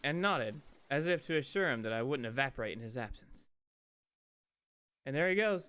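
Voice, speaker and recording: A man's voice: medium pitch, normal speed, clear, resonant tone. A man reading a visual novel game aloud with playful drama and casual, sweary chat. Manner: animated, casual, profane.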